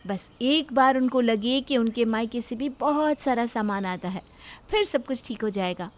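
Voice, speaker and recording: Woman doing a sweet humble young bahu, really high voice, always smoothing things over, family story. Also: moderate pace, lightly acted. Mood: placating. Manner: placating, deferential. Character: sweet, humble, conciliatory young Indian daughter-in-law. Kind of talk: Hindi family moral-story acting.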